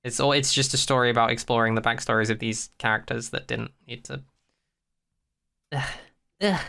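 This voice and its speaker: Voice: medium pitch, steady tone, moderate pace, creaky, pushed and bright. A podcast co-host chatting casually in a blunt, sweary, opinionated way. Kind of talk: casual chat.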